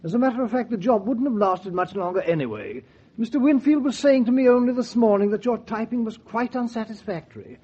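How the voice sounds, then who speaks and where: Fussy, high-pitched, husky prim man. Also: animated, fairly quick, mellow, exaggerated voice. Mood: fussy, smug. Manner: fussy, mock-polite, theatrical exclamations. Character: fussy, prim, smugly suspicious male household employee. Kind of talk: 1940s radio acting. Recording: dull vintage 1940s radio recording.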